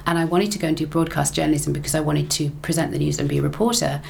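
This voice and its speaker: Low, gravelly woman, chatty. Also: fairly even intonation, rapid-fire, darkish tone, slight background noise. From England. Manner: chatty, laughs often, repeats phrases. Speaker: interview guest, broadcaster and lecturer. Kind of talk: casual interview storytelling.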